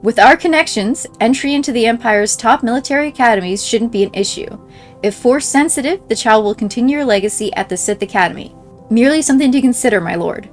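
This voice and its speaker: A woman's voice: on the high side, fast talker. A woman reading written in-game messages aloud as a scripted narration. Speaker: game playthrough creator reading in-game mail aloud. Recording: game music behind the voice. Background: game music.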